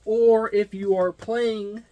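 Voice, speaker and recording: A man with a voice a bit higher than most gives a casual, unscripted review. His delivery is halting and digressive, stopping and starting as he works through the details of a product. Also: level tone, mellow. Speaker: tabletop gaming reviewer.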